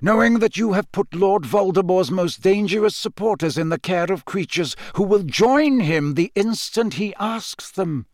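A man voicing a grave old male wizard in an audiobook, solemn, measured and emphatic, with urgent authority. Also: high-pitched, expressive, no room sound, studio quality, pitched above the performer's own voice. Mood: grave, firm. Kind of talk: audiobook character voice.

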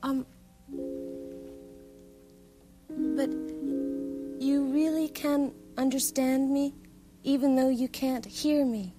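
A woman playing a gentle, welcoming lady in children's television make-believe, speaking with patient, courteous hesitance. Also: high voice, fairly even intonation, slow pace, over music. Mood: puzzled. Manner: patient, courteous, hesitant, repeats what she hears.

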